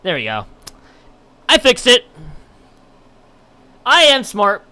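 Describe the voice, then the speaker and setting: A man's voice: extremely high pitch, wildly swooping pitch, slow pace, bright, forceful tone. A man livestreaming, reading a visual novel aloud and chatting, often stumbling and repeating words, with dramatic emphasis. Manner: stumbling over read text, repeating and restarting words. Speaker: live game streamer.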